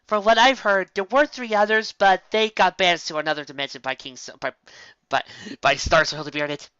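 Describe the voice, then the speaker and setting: A woman's voice: on the low side, fairly even intonation, brisk, a bit bright, clear, resonant tone, dry recording, muffled recording, voice pushed up, natural voice. A woman voicing a bookish young female unicorn scholar who lectures eagerly and precisely. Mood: earnest lecturing.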